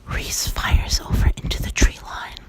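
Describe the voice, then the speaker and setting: A storyteller whispering a horror story throughout, in a loose, profane way. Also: measured pace.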